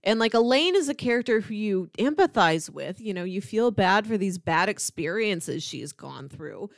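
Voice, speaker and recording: A woman's voice: mid-range voice, lively intonation, normal speed, gravelly, no room sound, studio quality. A woman co-hosting a podcast, chatting casually and conversationally about film.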